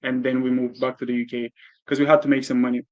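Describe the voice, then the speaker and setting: A man from Bulgaria answering interview questions candidly, with frequent pauses and fillers. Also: medium pitch, little pitch movement, muffled recording. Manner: candid, hesitant with many "uh"s. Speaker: guest, e-commerce entrepreneur.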